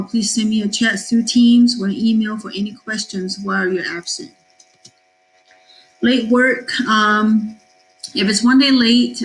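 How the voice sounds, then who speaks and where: A middle-aged woman from Taiwan, a math teacher, giving a cheerful class introduction with frequent ums and pauses. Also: mid-range voice, low-quality recording. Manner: cheerful, with many fillers and pauses.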